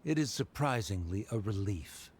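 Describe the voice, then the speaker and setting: A man acting as a haughty game character, aloof and coldly amused. His mid-pitched voice moves widely as he condescends, over faint background noise. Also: expressive, moderate pace, lightly acted. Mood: disdainful. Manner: aloof, disdainful.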